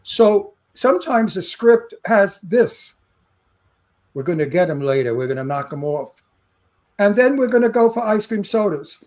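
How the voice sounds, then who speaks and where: A brash, salty-talking man with a thick Brooklyn accent and a notably high voice. He co-hosts a chatty interview show and swaps stories freely. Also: sing-song, measured pace, darkish tone. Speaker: co-host. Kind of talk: casual chat.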